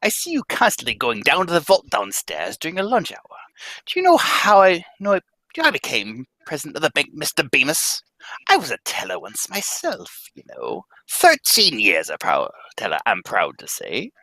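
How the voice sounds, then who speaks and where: A man voicing a stern bank president who lectures his employee in a pompous, emphatic way. The voice is bright and forceful, swinging widely in pitch, and recorded dry and close. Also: wildly swooping pitch, normal speed, no echo, exaggerated voice, rough recording. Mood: stern lecturing. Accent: American. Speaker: live script reader. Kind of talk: live radio-play reading.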